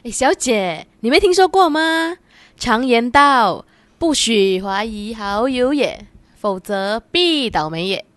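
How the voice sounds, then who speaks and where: High-voiced, playful young student played by a woman. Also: animated, takes it slowly, fairly bright tone, no echo. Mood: playful, teasing. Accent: Malaysian Chinese. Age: young adult. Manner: coy, teasing, particle-heavy colloquial speech. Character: bubbly, playful 23-year-old female university student in love. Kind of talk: radio drama acting.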